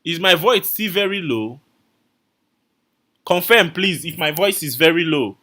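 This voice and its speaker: A man's voice: on the high side, expressive, measured pace, sharp tone. A man teaching a live online coding class, repeating his instructions and calling out viewers' names from the chat. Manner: repetitive, coaxing, calls out viewer names.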